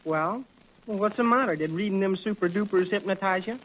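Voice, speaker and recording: High-voiced soda clerk. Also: male voice, fairly quick, a bit bright, rough recording. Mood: brisk, puzzled. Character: Freddy, brisk young drugstore soda clerk. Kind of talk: 1940s radio comedy acting.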